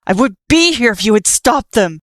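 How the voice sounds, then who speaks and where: A woman voicing a bitter, furious cursed male skeleton who shouts his lines. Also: fairly low pitch, expressive, takes it slowly, dry recording, studio quality, heavily acted.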